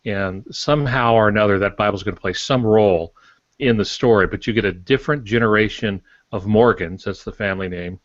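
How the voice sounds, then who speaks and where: An older man co-hosting a podcast, explaining casually in measured, hesitant phrases. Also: on the low side, dry recording, highs cut off. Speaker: podcast co-host.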